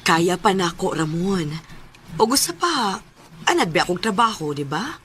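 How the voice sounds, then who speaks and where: A woman with a Cebuano Filipino accent playing a tender, quietly torn wife and mother in a radio drama, soft-spoken and emotive. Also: on the low side, very animated, moderate pace, a little hiss or hum. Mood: earnest.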